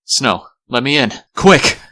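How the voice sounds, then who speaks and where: Man playing a bright, sly talking fox. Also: mid-range voice, animated, takes it slowly. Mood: urgent, hushed warning. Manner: glib, urgent, wisecracking. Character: sly, brash talking male fox on the run. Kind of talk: comic-book dub acting.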